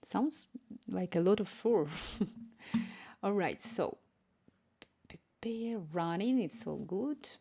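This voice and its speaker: A woman's voice: mid-range voice, lively intonation, takes it slowly, creaky, pauses often, warm tone. A woman with a Ukrainian accent carrying some Spanish influence, streaming live as an app developer, chatty and easily distracted, reading chat aloud and murmuring to herself while she codes. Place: home room.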